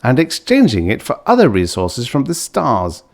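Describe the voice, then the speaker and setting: A man acts a crotchety old grandfather in a storybook tale. He blusters and grumbles with scorn, his pitch jumping about as he gripes. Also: wildly swooping pitch, normal speed. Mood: scornful. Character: cantankerous, scornful old grandfather, suspicious of alien rulers.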